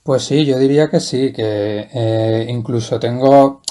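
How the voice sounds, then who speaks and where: A man's voice: medium pitch, slow pace, mellow. A man, a writer answering interview questions in a hesitant, thoughtful way with many restarts. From Valencia, Spain. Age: 40s. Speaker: guest writer.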